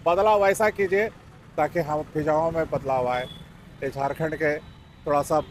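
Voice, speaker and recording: A man India speaks his mind in a street interview. His voice is somewhat high and he repeats phrases for emphasis. Faint traffic noise surrounds him. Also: steady tone, moderate pace. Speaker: member of the public being interviewed. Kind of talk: spontaneous opinion in a street interview. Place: outdoors in an urban area.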